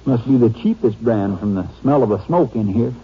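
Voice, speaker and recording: A man's voice: medium pitch, normal speed, breathy edge, muffled recording. A man playing an eager, folksy western sheriff in an old-time radio crime drama, blunt and full of questions, on a noisy old recording. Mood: curious, puzzled. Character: plain-spoken, eager male western sheriff.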